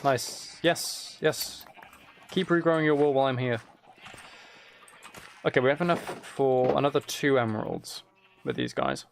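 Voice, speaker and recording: A man's voice: medium pitch, measured pace, gravelly, pauses often, a little hiss or hum. A man live streaming, giving casual gameplay commentary and musing aloud as he chats to viewers.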